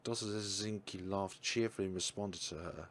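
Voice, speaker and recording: A man reading a visual novel aloud for his channel, in a steady voice with some slips and restarts. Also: low-pitched, normal speed. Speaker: game reader.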